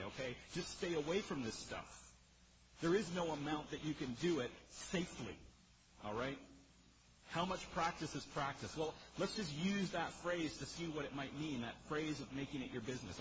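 A man preaching an expository sermon in a powerful voice, emphatic yet conversational, putting questions straight to his listeners. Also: fairly high pitch. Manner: emphatic, conversational, rhetorical questions and direct address. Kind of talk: sermon, expository teaching to a congregation. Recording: muffled sound with clear background noise.

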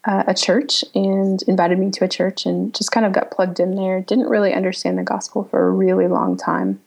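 A woman in her thirties, originally from Pennsylvania, speaks as a podcast guest, pausing and circling back as she reflects. Her voice is husky with a gravelly fry, and her pitch stays quite flat. She sits at an ordinary middle pitch for a woman. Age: 30s. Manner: reflective, halting, many um fillers. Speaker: guest, writer. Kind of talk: casual interview talk.

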